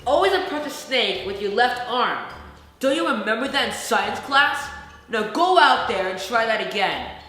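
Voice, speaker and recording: A bossy storybook handyman, acted out by a woman, blundering yet sure of himself. The delivery is emphatic, with lively swings of pitch. It sits in a very echoey room over music. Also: normal speed. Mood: instructing.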